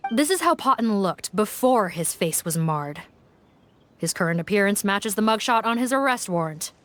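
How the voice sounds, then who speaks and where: A woman's voice: medium pitch, expressive, moderate pace, fairly bright tone, natural voice. A woman voicing a stern, formal investigator in a video game, frank and matter-of-fact.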